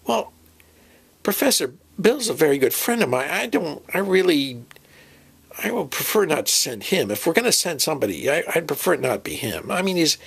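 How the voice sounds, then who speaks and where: Male comic sketch performer as himself: higher-pitched, gravelly, folksy and rambling, in informal improvised dialogue. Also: very animated, moderate pace, a bit bright.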